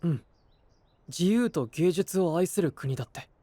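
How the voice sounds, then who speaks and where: A man's voice: fairly high pitch, lively intonation, measured pace, dark tone. A male actor playing an earnest, concerned young hero in an audio drama, speaking directly and seriously. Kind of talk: Japanese anime-style audio drama acting.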